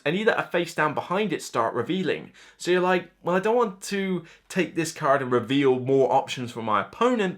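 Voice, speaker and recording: A man in his thirties with a mid-pitched voice talks casually to camera as the host of a board game channel. His delivery is unscripted, chatty and emphatic, slipping into imagined reactions from players as he explains things. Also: fairly bright tone. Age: 30s. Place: small indoor room lined with shelves of games.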